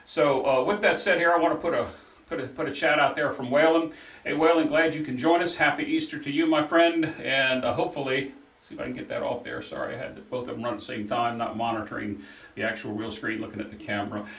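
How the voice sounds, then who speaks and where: A man's voice: medium pitch, steady tone, fast talker, fairly bright tone, distant mic. Chatty man, roomy and off-mic. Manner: chatty, runs the chat on air. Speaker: co-host. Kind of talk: live call-in show chat.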